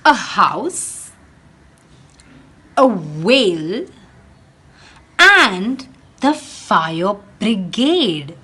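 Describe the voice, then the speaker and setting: Slow, lively, husky woman reading aloud. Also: mid-range voice. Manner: slow, expressive storytelling with dramatic emphasis; speaks directly to young listeners. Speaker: teacher. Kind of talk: reading a picture book aloud to children.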